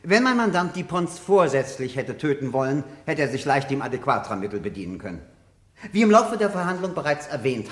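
An earnest defense counsel in a radio crime play, voiced by a man. His pitch runs a little high and animated as he pleads formally for his client. The room around him rings with echo.

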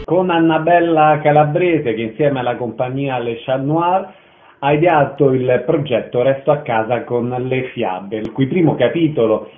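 A man's voice: mid-range voice, a little slow, phone-quality sound, faint music behind. A man hosting a radio interview, asking brief, polite questions. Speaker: radio host.

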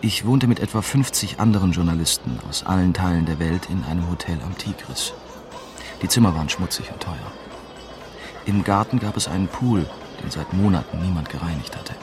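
An actor playing a young, wry German reporter, narrating a radio drama in a dry, matter-of-fact way. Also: male voice, sits low, fairly quick, over music, natural voice. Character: young, wry male German newspaper reporter recounting his story. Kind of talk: scripted first-person radio drama narration.

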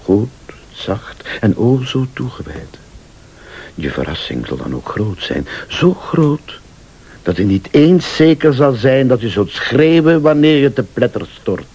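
An actor playing a shrewd, insistent accuser in a radio thriller, speaking formally and with deliberate insinuation. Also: male voice, mid-range voice, big pitch swings, darkish tone, husky, heavily acted. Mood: ominous, hushed. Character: male late-night accuser, shrewd, insistent, laying out a murder plot. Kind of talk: Dutch-language radio thriller acting.

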